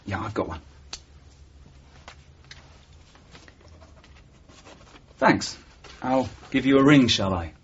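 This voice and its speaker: A cautious, courteous detective sergeant in a radio thriller. The voice is very husky at an ordinary male pitch, polite and understated. The old broadcast sounds dull and muffled. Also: expressive, moderate pace. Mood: polite, tentative. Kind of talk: radio drama dialogue.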